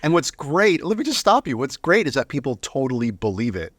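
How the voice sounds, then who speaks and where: Male, casual interview talk, middle register, emphatic and a bit halting, no room echo. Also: medium pitch, sing-song, fairly bright tone, clean studio sound.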